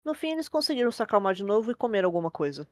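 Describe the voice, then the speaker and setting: A woman's voice: mid-range voice, very animated, rapid-fire, soft background music. A woman with a Brazilian accent narrating a horror story in a conversational style.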